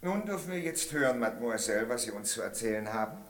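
An actor playing a stern judge questioning witnesses in a 1960s radio play. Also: male voice, medium pitch, lively intonation, roomy sound, natural voice. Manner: formal, measured, authoritative. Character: stern middle-aged male judge.

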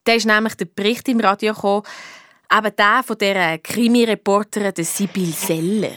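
A woman's voice: mid-range voice, fairly even intonation, normal speed, a bit bright, lightly acted. A young woman with a Swiss German accent playing a feisty schoolgirl detective narrating her case in a radio play. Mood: fed-up, eager. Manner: indignant, colloquial.

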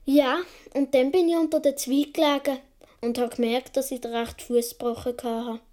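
A woman's voice: sits high, flat delivery, normal speed, dark tone, lightly acted. A woman playing a young Swiss boy who tells his childhood adventures vividly in a radio play, with a Swiss German accent. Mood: absorbed storytelling. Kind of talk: first-person radio play narration.

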